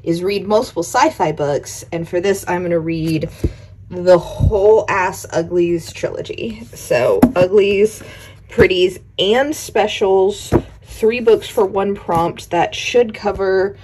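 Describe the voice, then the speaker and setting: A young woman with a hoarse voice, recovering from an illness, chatting casually in a reading wrap-up vlog and counting dice rolls aloud at home at night. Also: medium pitch, takes it slowly, background noise. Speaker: book vlogger.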